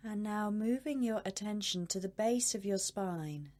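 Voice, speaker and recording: A woman leading a guided meditation as a coach, speaking in a measured, soothing way with long pauses. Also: medium pitch, mellow.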